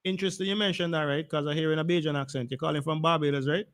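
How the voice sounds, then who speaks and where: A man's voice: a little high, steady tone, very fast, fairly bright tone. A man hosting a live radio call-in talk show, speaking hesitantly and often repeating words. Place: radio studio.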